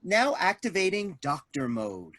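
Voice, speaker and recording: Woman acting a husky, swooping robot medical assistant. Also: on the low side, very animated, unhurried, fairly bright tone, no room sound, heavily acted. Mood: officious. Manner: formal automated-announcement phrasing. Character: officious menu-reciting medical AI robot assistant, blithely ominous. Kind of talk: staged reading of a comic play.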